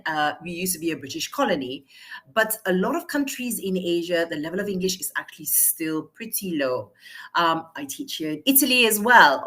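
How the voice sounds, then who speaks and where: Woman, teacher trainer, lower-pitched, lively presenter tone. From Malaysia. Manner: lively, chatty, asks and answers her own questions.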